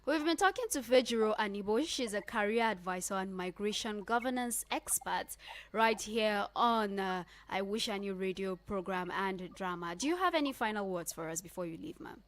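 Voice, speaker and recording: A woman radio host with a Nigerian accent speaks at a medium pitch. She interviews in an expressive, affirming manner, as a studio presenter would. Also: moderate pace.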